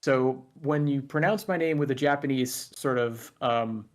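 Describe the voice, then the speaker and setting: A man chatting casually as a guest, explaining things carefully and telling stories in a relaxed way. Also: medium pitch, unhurried. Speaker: guest YouTuber. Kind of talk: casual conversation.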